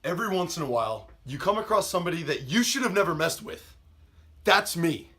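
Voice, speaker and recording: A man performs a menacing American killer in a dramatic monologue. He toys with his victim in a high, sharp male voice, swinging through mock courtesy and theatrical taunts. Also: big pitch swings, fairly quick. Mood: smug menace.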